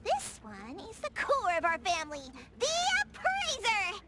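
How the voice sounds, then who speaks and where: A woman voicing an excitable, boastful young girl detective in an anime-style game, with an exuberant, declamatory delivery. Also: very high-pitched, measured pace, very noisy, heavily acted. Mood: proud, excited. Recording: music behind the voice.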